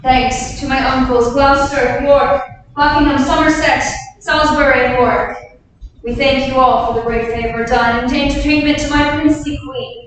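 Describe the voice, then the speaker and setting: A woman reading as a gentle young king, courteous and gracious, in a staged Shakespeare reading. The voice sits high and is heard from across an echoing room. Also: fairly even intonation, normal speed, slight background noise, lightly acted. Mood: regal. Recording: echoey room, mic far off.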